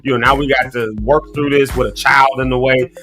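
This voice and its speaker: A man's voice: mid-range voice, steady tone, a little fast, sharp tone. A man in his thirties hosting a casual morning livestream, talking straight to his viewers in a folksy, preachy way and fighting a cough. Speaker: morning show host. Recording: faint music behind.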